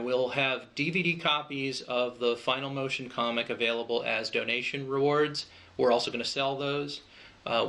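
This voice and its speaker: A man giving an informal crowdfunding pitch, explaining things in a loose, conversational way. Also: gravelly, bright, forceful tone. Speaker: project creator pitching a fundraiser.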